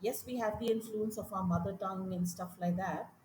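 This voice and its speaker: A woman's voice: mid-range voice, a little fast, slight background noise. Emphatic Indian woman in an echoey room. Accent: Indian English. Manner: animated, emphatic, repeats points. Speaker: teacher, podcast guest. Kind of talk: conversational interview. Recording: echoey room with faint ambience.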